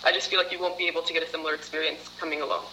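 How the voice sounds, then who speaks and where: A woman's voice: low voice, flat delivery, rapid-fire, background noise. A woman as a program participant, answering interview questions in a chatty way, with many fillers and laughs.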